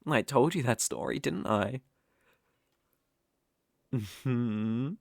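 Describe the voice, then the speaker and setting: A possessive, sadistic dark elf slave master with a British accent , acted by a man, made for intimate ASMR roleplay. He speaks unhurriedly, with a gravelly creak and a close, dry sound. His manner is taunting and condescending, lingering over every threat. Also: medium pitch, no room sound. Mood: smug, taunting.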